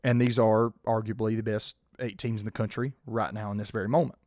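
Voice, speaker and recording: A man, hoarse and losing his voice, hosting a casual sports podcast and keeping his volume down. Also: lowish voice, little pitch movement, a little fast, darkish tone, no room sound, clean studio sound. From South Georgia, US. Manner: restrained, holding his volume down. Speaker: podcast host.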